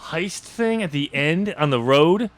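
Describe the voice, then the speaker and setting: A man's voice: a little high, animated, a little slow. A man co-hosting a podcast, casually discussing a book in an informal, chuckling way. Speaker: podcast host.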